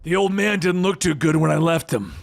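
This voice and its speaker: A menacing young male antihero in a comic tale, voiced by a narrator, sardonic and dry in his taunts. His voice is fairly high, with music behind it. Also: lively intonation, brisk, gravelly. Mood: sardonic menace.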